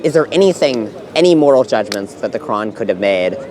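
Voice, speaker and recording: A man's voice: on the low side, animated, brisk, a little mellow. An American man in a street debate, pressing pointed questions and cutting in. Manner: probing, interrupting with pointed questions. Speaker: challenger in debate. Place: outdoor public park with crowd. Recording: street recording with crowd around.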